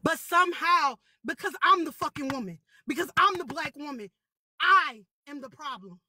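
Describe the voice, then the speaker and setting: Shouting woman with a very high, sharp voice. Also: lively intonation, normal speed, a little hiss or hum. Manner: shouting, profane, repetitive. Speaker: livestream guest. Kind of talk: heated livestream rant.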